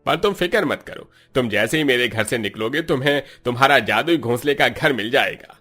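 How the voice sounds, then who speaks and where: An older village headman acted by a man talks at a medium male pitch. He is firm and paternal, with the emphatic, blunt delivery of a cartoon village elder. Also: expressive, fairly quick, pushed and bright, soft background music. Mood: apologetic. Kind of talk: Hindi cartoon story voice acting.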